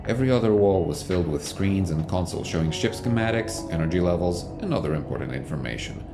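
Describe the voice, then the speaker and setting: A man's voice: deep voice, expressive, fast talker. A man narrating a scripted science fiction audio drama as its storyteller. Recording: music bed under the voice.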